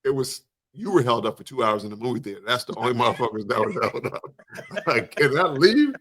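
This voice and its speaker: A man with an American accent and a mid-pitched voice that swings freely up and down. He talks like a podcast co-host in relaxed, slangy banter with friends. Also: fairly quick. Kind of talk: casual banter among friends.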